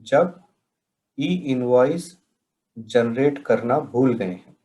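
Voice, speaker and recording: Guy from india doing a slow explainer, mid pitch, deliberate, pauses between phrases. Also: darkish tone. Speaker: presenter of a software training session. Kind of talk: explanatory tutorial, question and answer narration.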